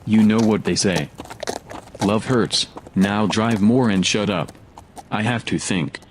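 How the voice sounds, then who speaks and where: Grumbling, low-voiced hero acted by a man. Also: slow pace. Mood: irritated, curt. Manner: grumbling, curt. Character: gruff, bad-tempered male hero on the run with robot. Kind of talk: scripted audio drama, muttered monologue. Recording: clear outdoor vehicle-like noise behind.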